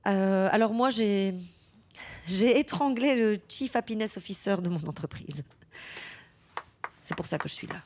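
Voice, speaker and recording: An actress playing an awkward, disillusioned advertising worker in a radio drama, speaking haltingly. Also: female voice, medium pitch, a little mellow, a little hiss or hum, lightly acted. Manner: hesitant, halting. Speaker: advertising worker in a support group. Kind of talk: naturalistic radio drama acting.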